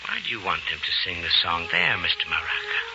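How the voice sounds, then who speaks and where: A man's voice: sits low, fast talker. An actor playing a modest, cautious father and market trader, polite and plain-spoken, in an old radio drama. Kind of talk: 1940s radio drama acting. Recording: dull old radio recording, faint noise.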